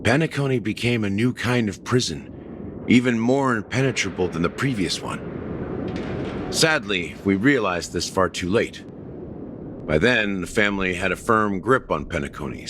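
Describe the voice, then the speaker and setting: A man playing a cunning smooth-talking schemer. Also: lowish voice, lively intonation, normal speed, noisy background, natural voice. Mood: grave. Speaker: scheming dreamland conspirator. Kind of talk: game cutscene voice acting. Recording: studio voice over loud music.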